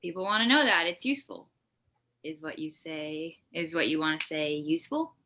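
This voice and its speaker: Woman playing exasperated sixteen-year-old girl, blunt, bright tone, lowish pitch, comedy table read. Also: expressive, normal speed, full character voice. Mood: guarded, deadpan.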